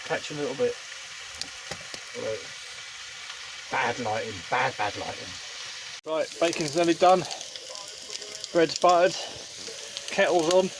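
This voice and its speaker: A man's voice: a little high, steady tone, unhurried, long pauses, noisy background. A man with a British accent chatting casually to camera as he vlogs from inside a small motorhome. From United Kingdom. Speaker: vlogger and amateur radio hobbyist. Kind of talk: casual vlog chat to camera.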